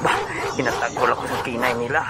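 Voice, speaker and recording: A man with a Filipino accent playing an anxious, hurried action hero who mutters his moves to himself in an audio drama. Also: mid-range voice, level tone, very noisy, close to an everyday voice. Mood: tense, effortful. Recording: loud music bed under the voice.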